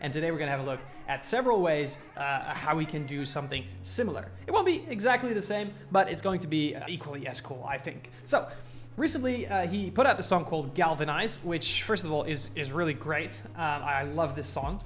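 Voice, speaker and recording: A man's voice: on the high side, lively intonation, a little fast, fairly bright tone. Enthusiastic man giving a casual tutorial. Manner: enthusiastic, informal. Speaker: music production tutorial host.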